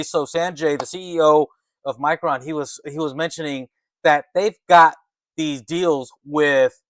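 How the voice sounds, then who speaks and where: A man with a slightly high voice hosts informal market commentary. He is emphatic and conversational, punctuating his points with little check-in questions. The sound is dry and close. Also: fairly bright tone, no room sound. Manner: emphatic, conversational, often adds tag questions like "right" and "okay". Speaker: host of a stock market commentary channel. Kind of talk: excited informal market commentary, partly reading articles aloud.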